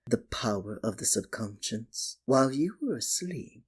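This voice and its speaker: A man playing a sinister, possessive magician in an ASMR roleplay, speaking softly and coaxingly with theatrical, condescending endearments. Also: mid-range voice, heavily acted. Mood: gleeful, smug. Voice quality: soft, breathy. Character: sinister, possessive male stage magician, soothing hypnotist. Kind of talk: ASMR roleplay, scripted dramatic monologue.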